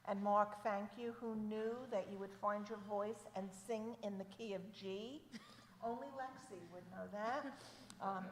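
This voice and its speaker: Woman, service leader, slow measured delivery, echoey sanctuary, long reverb. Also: mid-range voice, slight background noise. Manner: slow, measured reading of names. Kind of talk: leading a synagogue service. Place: temple sanctuary.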